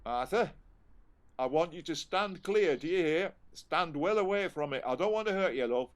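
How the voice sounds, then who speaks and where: A man's voice: fairly high pitch, animated, normal speed, lightly acted. A man playing a working-class husband in an audio play, with a colloquial, homely, coaxing way of talking. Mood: anxious coaxing.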